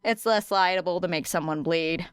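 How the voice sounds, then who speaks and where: A woman's voice: on the low side, normal speed, clear, resonant tone, no room sound, studio quality, natural voice. A woman playing a tough, capable young girl in an audiobook, blunt, wry and matter-of-fact.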